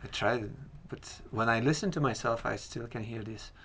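A man with a Lithuanian accent speaks English haltingly, restarting words as he feels his way through a sentence. His voice has a gravelly, creaky edge at a mid pitch, and faint background noise sits in the room. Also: a bit bright. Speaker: podcast guest. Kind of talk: interview conversation.

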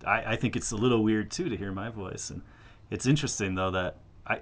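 A man hosting a travel podcast, talking in a relaxed, chatty way and thinking aloud with fillers while interviewing a guest. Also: lowish voice, brisk. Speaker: podcast host. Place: hostel in rural China.

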